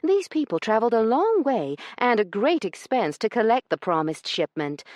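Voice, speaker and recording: A woman voicing a prim, sharp-tongued young lady who speaks in a clipped, proper and cutting manner. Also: fairly high pitch, very animated, moderate pace, no echo, clean studio sound, voice pushed up. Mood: indignant.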